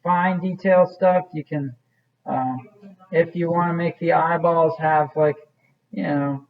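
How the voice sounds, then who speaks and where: A man's voice: fairly high pitch, steady tone, slow pace, narrow, tinny audio. Casual man teaching a clay demo. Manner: casual, rambling, many fillers. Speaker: art teacher. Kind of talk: classroom demonstration.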